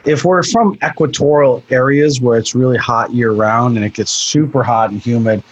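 Husky man from Ireland, thoughtful. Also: mid-range voice, normal speed, lo-fi sound. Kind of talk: casual chat.

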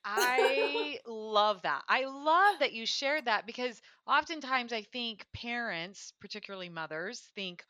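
Upbeat lady host, bright sharp voice, dry close sound. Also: on the high side, unhurried, hardly any pauses, no echo. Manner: enthusiastic, affirming, laughs often. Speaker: podcast host. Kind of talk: conversational interview.

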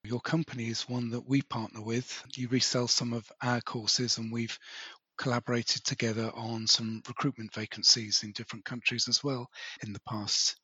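A man hosting a podcast interview who asks relaxed follow-up questions and sums up what he hears. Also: medium pitch, moderate pace, slightly rough, dry recording, dull audio. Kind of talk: casual conversational interview.

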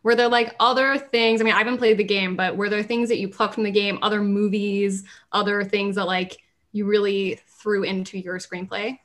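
A woman's voice: medium pitch, fairly even intonation, normal speed, fairly bright tone. A woman co-hosting a podcast, asking questions in a casual way with lots of likes. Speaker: podcast co-host.

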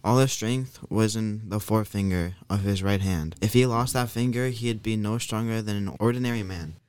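A man narrating a folktale for a radio drama as an expressive storyteller. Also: lowish voice, moderate pace, clean tone, no echo.

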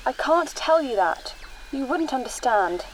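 An actress playing an imperious, secretive young princess who speaks formally and commandingly in an audio drama. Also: female voice, high voice, big pitch swings, a little slow, slight background noise, poor audio.

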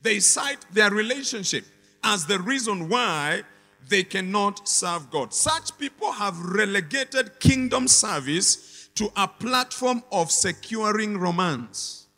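High, bright-voiced man preaching in a hall. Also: expressive, takes it slowly. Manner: emphatic, repetitive call-and-response preaching. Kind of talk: sermon.

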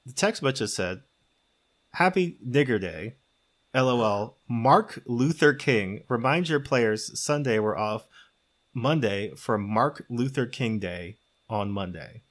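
A man's voice: mid-range voice, animated, slow. A man co-hosting a podcast in a casual, conversational, joking style. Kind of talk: casual comedic podcast chat.

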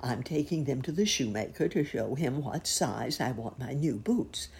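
A woman doing a polite old bunny gentleman. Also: rumbling low, lively intonation, normal speed, gravelly, husky, full character voice. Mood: kindly.

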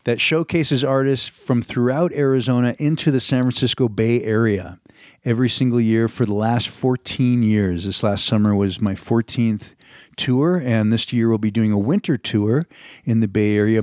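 Middle-aged man, American accent, low voice, dry close sound, rambling conversational radio host. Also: a little mellow, no echo. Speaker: radio show host, touring singer-songwriter showcase organizer. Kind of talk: informal radio hosting and interview.

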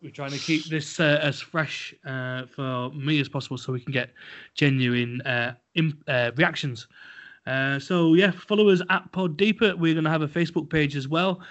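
A man's voice: a little high, moderate pace, fairly bright tone. A man co-hosting a casual podcast, talking bluntly and rambling with lots of fillers and restarts. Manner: blunt and a little crude. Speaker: podcast co-host. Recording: dull lo-fi podcast audio.

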